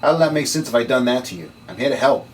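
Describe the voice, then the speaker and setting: A man's voice: mid-range voice, animated, fast, natural voice. A man playing a sardonic, world-weary noir occult investigator with slangy delivery in a script table read. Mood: insistent. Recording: distant mic, noisy room.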